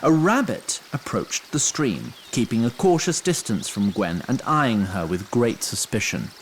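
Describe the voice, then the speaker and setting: A man's voice: medium pitch, expressive, a little slow, a little hiss or hum, low-quality recording. Male storyteller for scripted fantasy narration, mid-range voice, very varied intonation, dryly literary delivery.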